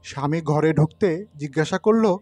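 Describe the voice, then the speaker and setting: Male storyteller for a ghost tale, high-pitched voice, dramatic narration over music. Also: moderate pace, a little mellow. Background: background music.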